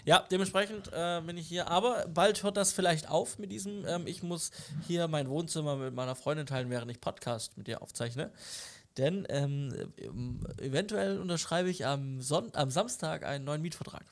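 A man's voice: a little high, normal speed. A young man co-hosting a podcast from his living room, talking casually with a cold, hesitant and full of fillers. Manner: hesitant, many fillers and restarts. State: nasal with a cold. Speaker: podcast co-host. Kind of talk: casual chat.

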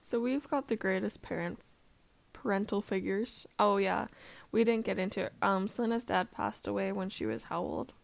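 A woman's voice: medium pitch, normal speed, gravelly. A woman co-hosting a casual family podcast chat, hesitating often with "um" and sitting close to a shared mic. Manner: hesitant, lots of "um" fillers. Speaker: podcast co-host. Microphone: shared mic, close to her.

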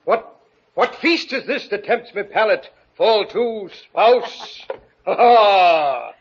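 An actor playing an anguished, newly married professor in halting, theatrical old radio thriller style, on a noisy vintage recording. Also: male voice, high-pitched, expressive, breathy edge, dull audio, heavily acted. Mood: giddy, mock-grand. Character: anguished new bridegroom, professor haunted by dark urges. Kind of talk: 1940s radio thriller acting.

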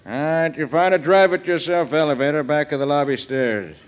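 A man's voice: on the high side, fairly quick, natural voice. An actor playing a stern, fatherly police lieutenant, commanding and clipped, in 1940s radio drama style. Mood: brisk instruction. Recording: dull vintage radio recording.

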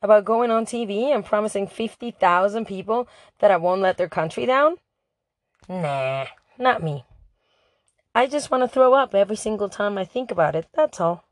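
A woman's voice: medium pitch, lively intonation, normal speed, no room sound, natural voice. A woman reading a storybook diary aloud as a sarcastic, put-upon teenage princess. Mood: sarcastic, nervous. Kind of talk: amateur audiobook reading of a first-person diary.

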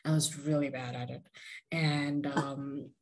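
A woman's voice: very deep voice, little pitch movement, slow, crowd noise. A woman in her thirties, from India, telling her story as a guest in a chatty, self-deprecating way with many fillers. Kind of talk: casual interview storytelling.

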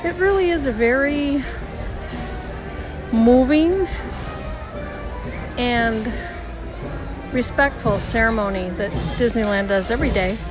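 A woman hosting a podcast, telling a story in a casual, chatty way, her voice hoarse and her throat tight. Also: fairly high pitch, slow, pauses often, rough recording. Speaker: podcast host. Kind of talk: casual theme-park audio diary. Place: outdoors on a theme park street. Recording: outdoor park recording with music and crowd. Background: band music and crowd.

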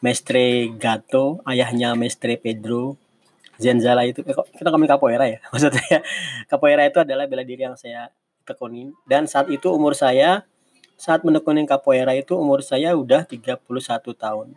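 Male mentor, 40s, average pitch, informal and explanatory, answers questions with digressions. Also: fairly even intonation, moderate pace, darkish tone. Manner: conversational, explanatory, with digressions and self-corrections. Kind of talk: casual advice talk in a live session.

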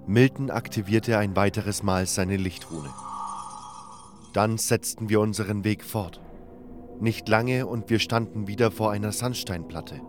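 A man's voice: fairly low pitch, unhurried. A man reading scripted fantasy narration as an audiobook narrator, with music behind him.

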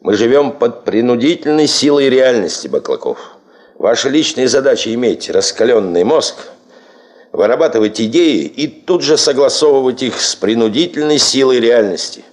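An actor playing a middle-aged, exacting expedition chief in a radio play, speaking with measured authority. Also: male voice, medium pitch, animated, lightly acted. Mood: stern, insistent. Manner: measured, authoritative, clipped orders. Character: commanding, exacting male chief of a gold-prospecting expedition.

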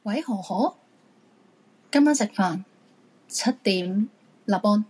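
A woman's voice: mid-range voice, animated, takes it slowly, a little mellow, slightly rough, poor audio. An actress playing a stern, commanding woman who gives curt warnings in a Hong Kong Cantonese radio drama.

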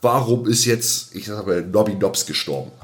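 A man's voice: on the low side, animated, normal speed. A man co-hosting a casual podcast, talking colloquially and emphatically and often repeating his phrases. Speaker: podcast co-host.

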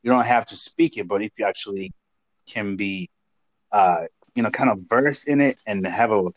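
Low-voiced man hosting. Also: moderate pace, dry recording, poor audio. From Nigeria. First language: Igbo. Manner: hesitant, filler-heavy, encouraging. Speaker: podcast host. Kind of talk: casual interview chat.

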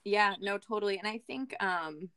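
An American woman telling her personal story as a guest in a candid, reflective, halting way, often restarting her phrases. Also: mid-range voice, lively intonation, fairly bright tone, clean tone. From Boston area, Massachusetts, USA. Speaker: podcast guest, business owner.

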